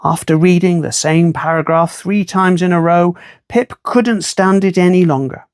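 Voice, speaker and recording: A storyteller reading a children's book aloud in an expressive, measured, wry way. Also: medium pitch, clear, resonant tone, dry recording, studio quality.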